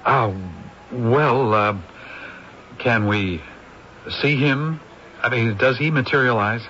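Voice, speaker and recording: An actor playing an eager, fast-talking film producer who hems and haws, in a radio drama. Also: male voice, mid-range voice, pushed and bright. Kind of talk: 1970s radio drama acting. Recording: dull old radio recording with hiss.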